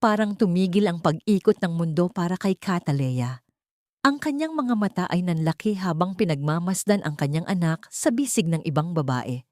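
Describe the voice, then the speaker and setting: A woman's voice: lowish voice, lively intonation, moderate pace, a little mellow, no echo, studio quality. A woman reading a scripted dramatic story as its narrator.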